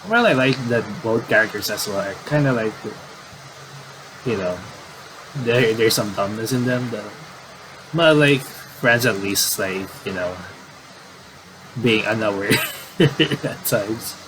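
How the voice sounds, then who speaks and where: A man doing casual game commentary and reading aloud, in a halting, rambling way with repeated words. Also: lowish voice, noisy background.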